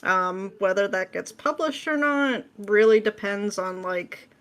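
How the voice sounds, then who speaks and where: A woman with a medium-pitched voice answers questions as an interview guest. Her talk is casual and informal, with a self-deprecating streak. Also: animated, unhurried. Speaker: interview guest, script writer.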